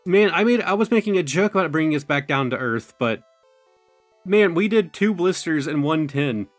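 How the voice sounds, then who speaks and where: A young man talks loosely and at length, his voice thin and worn as if it is going out. He leans on fillers and loses his thread before finding it again. Faint music plays quietly behind him. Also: a little high, lively intonation, moderate pace. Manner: rambling, full of "like" and "you know". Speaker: card-opening YouTuber. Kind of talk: casual chatty commentary.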